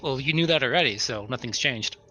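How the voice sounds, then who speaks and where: A man's voice: medium pitch, a little fast, bright, forceful tone, slight background noise. A man playing a tabletop game, bantering casually with a teasing, mock-callous manner. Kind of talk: casual banter, partly in character.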